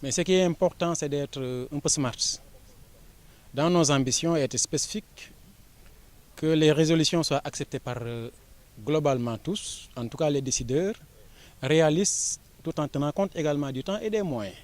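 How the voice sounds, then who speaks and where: Measured Senegalese man, official tone. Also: a little high. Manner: measured, formal, with frequent pauses and fillers. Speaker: education official. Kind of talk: formal interview statement.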